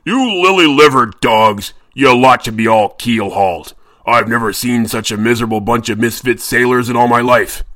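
A man's voice: medium pitch, moderate pace, sharp tone, no echo, studio quality, close to an everyday voice. A man playing a bellowing pirate captain. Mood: bellowing scorn. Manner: bellowing, threatening. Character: menacing murderous male pirate captain.

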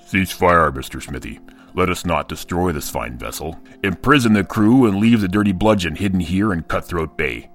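A man voicing a hulking, menacing pirate captain with a gruff baritone and a commanding, blustering manner. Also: animated, normal speed. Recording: narration with music underneath.

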